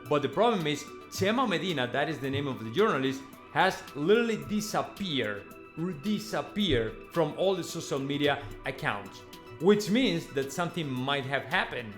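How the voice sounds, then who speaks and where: A man talks casually to his audience as a football host. His delivery is conversational and emphatic, with repeated phrases and checking tags at the ends of thoughts. Light music plays underneath. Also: fairly high pitch. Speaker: football vlogger and fan channel host. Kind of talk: casual news commentary to viewers.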